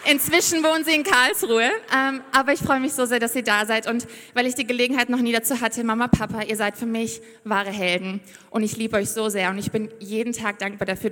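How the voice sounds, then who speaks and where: A woman's voice: fairly high pitch, fairly bright tone. A young woman from Siberia preaches a sermon on a church stage. She speaks in an effusive, heartfelt and enthusiastic way and reads aloud from the Bible. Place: church stage before a congregation. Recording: reverberant church hall.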